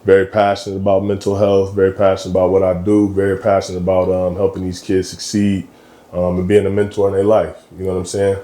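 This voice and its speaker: A very low, dark-voiced man in his thirties from Michigan chats easily as a podcast guest. He talks in a relaxed, slangy way, dropping frequent ums. The sound bounces around an echoey room. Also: quick pace. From Michigan, USA. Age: 30s. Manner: laid-back, slangy, lots of ums. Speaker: guest, college basketball coach. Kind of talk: casual interview chat.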